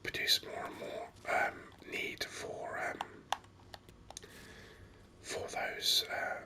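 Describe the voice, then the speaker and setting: A man whispering ASMR game commentary in a breathy, unhurried way, thinking aloud close to the microphone. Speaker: gaming video host.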